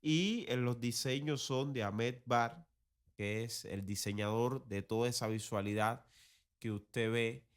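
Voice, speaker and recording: A man hosting a podcast, speaking in a measured, reflective and formal way. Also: mid-range voice, clear, resonant tone. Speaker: podcast host, director and producer. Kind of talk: podcast sign-off commentary.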